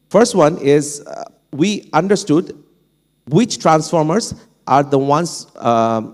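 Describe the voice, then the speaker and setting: Halting Bangladeshi man in an echoing hall. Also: medium pitch, darkish tone. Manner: halting, many fillers and restarts. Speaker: panelist. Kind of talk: unscripted panel talk. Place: conference hall. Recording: long hall reverb.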